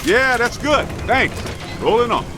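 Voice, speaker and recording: Easygoing ghost hunter, high lively voice. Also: male voice, unhurried, noisy background, full character voice. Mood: casual, practical. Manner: colloquial, wry. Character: easygoing, wisecracking working-man ghost hunter, excitable under pressure. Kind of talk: video game dialogue.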